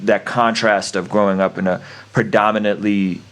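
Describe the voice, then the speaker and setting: A man's voice: fairly low pitch, level tone, moderate pace, clean tone. A man whose first language is Russian, hosting a casual interview, enthusiastic and affirming. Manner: affirming, lots of "yeah", enthusiastic. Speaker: podcast host.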